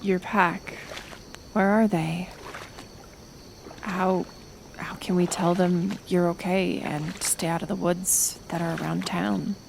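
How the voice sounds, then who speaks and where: A woman playing a kind, stubborn healer in an intimate ASMR roleplay, speaking in a gentle, halting, soothing way that often slips into whispers. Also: lowish voice, fairly even intonation, gravelly, noisy background. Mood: curious, dry.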